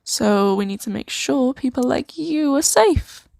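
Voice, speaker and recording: A woman improvising an ASMR roleplay as a kind, shy, flustered boss of a secret sanctuary, speaking softly and hesitantly with giggles. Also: high-pitched, animated, measured pace, gravelly, no room sound, heavily acted. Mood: gentle, protective. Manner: hesitant, giggly, soft-spoken.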